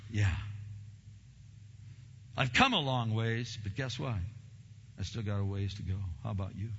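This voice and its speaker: A pastor preaching a sermon in a church, a man with a big voice who swings from low, slow stresses to loud, emphatic bursts. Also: in a large hall, lo-fi sound, slight background noise.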